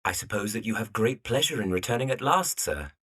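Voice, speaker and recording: Earnest, lovesick young gentleman, played by a man, slightly distant. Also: fairly low pitch, lively intonation, a little fast, slightly rough. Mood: stiff politeness. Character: earnest, lovelorn young architect, a gentleman suitor. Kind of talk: period audio drama acting.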